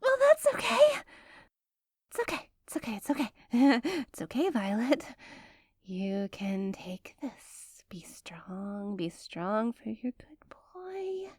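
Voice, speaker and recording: A woman's voice: fairly high pitch, wildly swooping pitch, slow pace, darkish tone, slightly rough, no echo, studio quality, heavily acted. A woman playing a rich, clingy, possessive young girlfriend who coaxes and gushes sweetly in an ASMR roleplay addressed to the listener. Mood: flustered, insistent, then whispered self-pep-talk. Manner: coaxing, gushing, theatrically sweet, swinging into flustered pleading.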